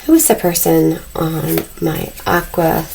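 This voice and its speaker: Husky, gravelly low-voiced woman. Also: fairly even intonation, unhurried. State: losing her voice, a little husky. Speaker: tarot reader. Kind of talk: casual tarot reading to camera. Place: dim room indoors lit by candles. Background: faint noise from loud neighbors upstairs.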